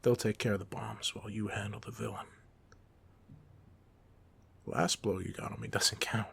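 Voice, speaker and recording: A man playing a goofy, soft-hearted supervillain off duty in an audio roleplay, talking casually with teasing, self-deprecating humor. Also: lowish voice, fairly even intonation, normal speed, vocal fry, husky, exaggerated voice. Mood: boastful storytelling. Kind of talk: ASMR roleplay monologue, casual conversational acting.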